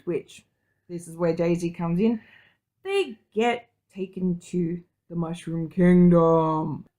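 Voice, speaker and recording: Gushy excitable woman on a podcast panel, really informal, just chatting away. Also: fairly low pitch. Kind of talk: casual chat.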